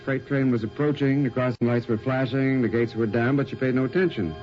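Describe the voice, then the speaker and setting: A probing police detective played by a male actor in a 1980s radio drama. He speaks in a factual, methodical way at a middling pitch. The recording is slightly dull, with music underneath. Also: steady tone, brisk, close to an everyday voice. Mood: grave, factual.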